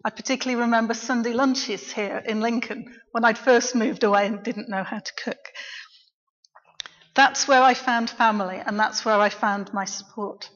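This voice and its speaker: A middle-aged woman, a local preacher, giving a quiet, reflective personal testimony in church. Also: fairly high pitch, steady tone, moderate pace. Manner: soft-spoken, reflective. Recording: dull, echoey church recording.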